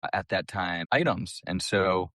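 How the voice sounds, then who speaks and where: Low, dry-recorded man chatting casually. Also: a little slow, a bit bright, no echo. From US East Coast. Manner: chatty, colloquial, full of "like". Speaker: podcast guest, small business owner. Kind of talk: casual personal storytelling.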